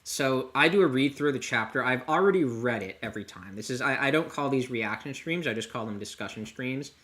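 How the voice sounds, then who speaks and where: A man hosting a casual livestream discussion, talking in a rambling, halting way as he thinks aloud. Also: medium pitch, expressive, fairly bright tone. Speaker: livestream host. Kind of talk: casual discussion of a comic chapter.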